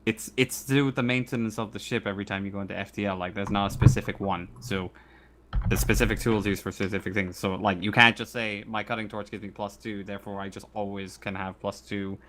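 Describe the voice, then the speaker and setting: A man's voice: lowish voice, steady tone, fast talker. A man acting as game master, narrating and explaining rules in an informal, conversational way. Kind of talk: casual tabletop game narration and rulings. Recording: faint background noise.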